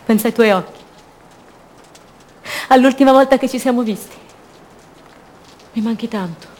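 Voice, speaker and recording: An actress playing a lonely, wounded woman longing for her love, in an intimate, lyrical radio theatre monologue of short measured phrases. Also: fairly high pitch, darkish tone, close to an everyday voice. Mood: aching.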